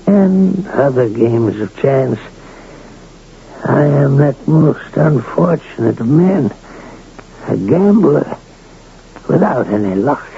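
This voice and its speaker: An actor playing an ailing, gruff, luckless gambler rancher in a radio drama, blunt and folksy. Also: male voice, mid-range voice, big pitch swings, takes it slowly, pauses often, heavily acted. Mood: bitter, resigned. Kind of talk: 1980 radio drama acting. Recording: dull, noisy vintage radio broadcast.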